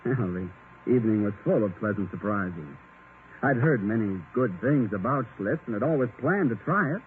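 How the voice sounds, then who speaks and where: A man's voice: on the low side, expressive, moderate pace, narrow, tinny audio, lightly acted. An actor playing a genial, folksy married man giving a confiding testimonial in a 1950 radio ad. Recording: thin noisy vintage radio recording.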